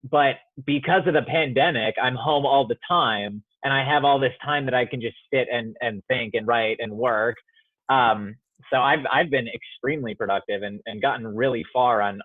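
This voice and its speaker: A man's voice: mid-range voice, no echo. A young man and student playwright from Iowa, talking about his work on a remote interview call, rambling and often restarting his thoughts. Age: young adult. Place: home studio apartment. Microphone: phone or video call. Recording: dry tinny phone line.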